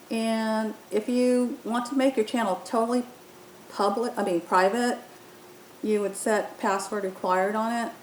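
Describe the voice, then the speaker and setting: A woman talks through a software demo in an informal, explanatory way, one step at a time. Her voice sits at an average pitch. The recording is echoey, like a bare room, with faint rumbling noise underneath. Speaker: app tutorial presenter. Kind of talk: informal software walkthrough narration. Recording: roomy echo, faint rumbling background noise.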